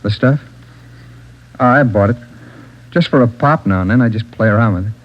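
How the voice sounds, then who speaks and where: A man's voice: mid-range voice, normal speed, close to an everyday voice. An actor playing a nervous male drug suspect under police questioning, stammering and evasive. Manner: stammering, evasive, repeating himself. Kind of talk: 1940s radio acting. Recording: muffled old radio broadcast.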